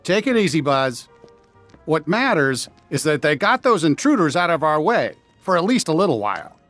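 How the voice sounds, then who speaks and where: Folksy, animated cowboy toy. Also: male voice, on the high side, moderate pace, no echo, soft background music. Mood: easygoing, friendly. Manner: folksy, reassuring. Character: friendly, easygoing cowboy toy leader. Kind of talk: animated video game dialogue.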